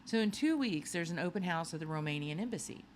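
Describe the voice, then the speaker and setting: Eager young boy agent read by a woman, lively. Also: fairly low pitch, fast, slight background noise, close to an everyday voice. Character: eager, clever boy secretly working as an agent.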